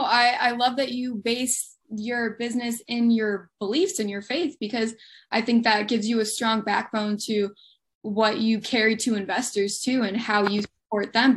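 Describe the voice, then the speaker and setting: A woman's voice: a little high, fairly even intonation, normal speed. A woman hosting a podcast, chatty and rambling, with friendly asides as she asks questions. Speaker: podcast host. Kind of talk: casual interview conversation.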